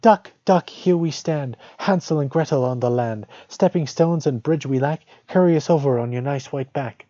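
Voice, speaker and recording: A man as an eager young storybook girl, pitched up. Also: moderate pace, hardly any pauses, warm tone, close to an everyday voice. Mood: hopeful, calling. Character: brave, eager young fairy-tale girl. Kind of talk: fairy-tale read-aloud. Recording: dull lo-fi audio, roomy echo.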